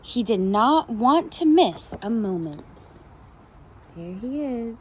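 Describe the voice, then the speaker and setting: A woman preschool teacher reading a picture book aloud to young children, in an animated storytelling style with asides to the listeners. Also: a little high, heavy background noise. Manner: pauses to comment and question the children.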